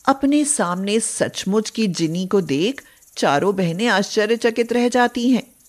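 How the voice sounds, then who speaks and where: Slow-paced woman storyteller. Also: medium pitch, expressive. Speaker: narrator. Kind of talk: scripted children's moral storytelling.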